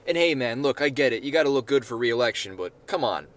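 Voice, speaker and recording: A man's voice: medium pitch, expressive, fast talker, fairly bright tone, close to an everyday voice. A man voicing a laid-back, unbothered slacker in a story reading, talking in a casual, slangy way. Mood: mildly annoyed. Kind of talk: amateur short-story character reading.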